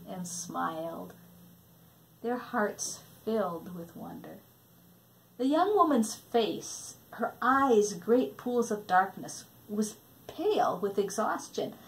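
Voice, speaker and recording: Female storybook narrator, mid-range voice, expressive and dramatic in delivery. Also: mid-range voice, rough recording. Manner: dramatic, hushing at tender or suspenseful moments. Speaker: storybook reader.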